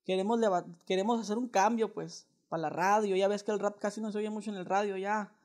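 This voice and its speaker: A man's voice: sits high, steady tone, brisk. A young man with a Mexican accent making a casual prank phone call, talking in a slangy, wheedling, mock-earnest way. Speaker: prank caller. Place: indoors, small living room.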